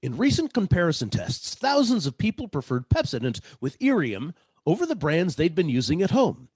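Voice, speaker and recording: A man's voice: on the high side, huge pitch swings, moderate pace, darkish tone, husky, no echo, full character voice. A man acting as an upbeat 1940s radio commercial announcer, reading an advertisement in an emphatic, salesmanlike way. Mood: upbeat, selling.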